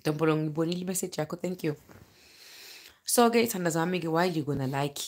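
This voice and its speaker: Woman, 30s, South African accent, slightly low voice, casual animated vlogger talking to viewers. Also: fairly quick. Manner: chatty, animated, breaking off to greet viewers. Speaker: livestream vlogger, storyteller. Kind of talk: casual livestream chat, personal storytelling. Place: bedroom, indoors, lying in bed. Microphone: phone at arm's length.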